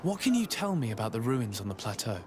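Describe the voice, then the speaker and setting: An actor playing a polite, earnest young diplomat in a video game, speaking formally and with composure. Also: male voice, medium pitch, big pitch swings, fast, noisy background, natural voice. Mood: composed, formal. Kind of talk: video game character dialogue.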